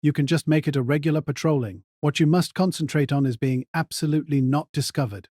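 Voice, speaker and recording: A man's voice: fairly high pitch, steady tone, quick pace, mellow, no echo, clean studio sound, close to an everyday voice. A man playing a cold, calculating mage mercenary in an audio drama, giving terse, controlled orders. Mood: commanding. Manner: terse, clipped, controlled commands.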